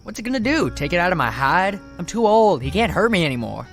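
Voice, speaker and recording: An actor playing a reckless teenage show-off with brash, slangy bravado in a radio drama. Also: male voice, high-pitched, big pitch swings, quick pace, fairly bright tone, soft background music, heavily acted. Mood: defiant, sullen. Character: cocky, show-off teenage boy, reckless young wise guy.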